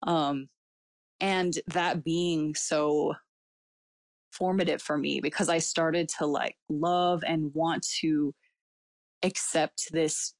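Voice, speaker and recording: Low-voiced woman, cantonese first language, lots of likes and ums, dry close mic. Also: fairly even intonation, takes it slowly, dry recording. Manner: reflective, many fillers, laughs often. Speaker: guest. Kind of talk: casual personal interview talk.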